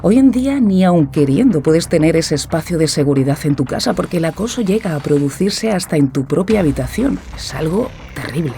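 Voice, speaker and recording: A woman with a Peninsular Spanish accent playing a gentle, sympathetic radio interviewer in a scripted audio drama. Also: deep voice, expressive, a little fast, creaky, hardly any pauses, slight background noise. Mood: concerned. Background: music.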